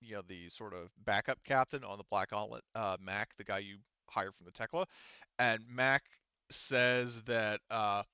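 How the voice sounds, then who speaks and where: A man running a tabletop roleplaying game, narrating casually and haltingly, often breaking off and restarting. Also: fairly low pitch, sharp tone, clear, resonant tone, no room sound, muffled recording. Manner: halting, frequent self-interruption. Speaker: game master.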